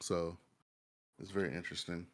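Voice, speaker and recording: A man's voice: low-pitched, level tone, moderate pace. A man chatting casually as a podcast panelist, rambling informally about what he watches with slang and swearing. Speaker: podcast panelist reviewing shows.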